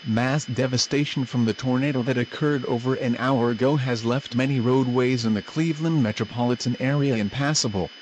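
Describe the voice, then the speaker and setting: A man reading a scripted emergency broadcast announcement in a formal, measured manner. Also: medium pitch, fairly even intonation, slight background noise, low-quality recording.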